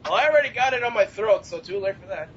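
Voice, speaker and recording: Young male co-host, very high voice, giggly self-mocking banter, dull lo-fi voice-chat recording. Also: animated, fast talker. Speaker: podcast co-host and amateur comedy writer. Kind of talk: casual group banter. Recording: dull lo-fi voice chat, noisy rumble.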